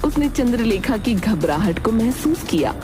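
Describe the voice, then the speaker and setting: A woman's voice: fairly high pitch, very animated, moderate pace. A woman narrating a scripted dramatic folk tale as a storyteller. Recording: music under the narration.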